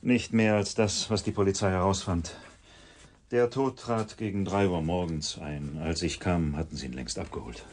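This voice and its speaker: A man's voice: deep voice, normal speed. A man playing a proud, defensive geneticist in a radio drama, formal and assertive in his speech. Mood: curt, guarded. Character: defensive, proud male genetic scientist, stiff and clipped.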